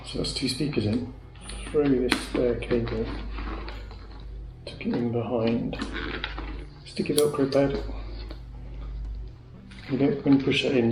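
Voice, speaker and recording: A man's voice: mid-range voice, fairly even intonation, normal speed, a little mellow. A British man giving a casual hands-on product demonstration, chatty and matter-of-fact as he thinks aloud while working. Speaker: motorcycle gear reviewer. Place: indoors at home. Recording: echoey room with faint clicks and noise.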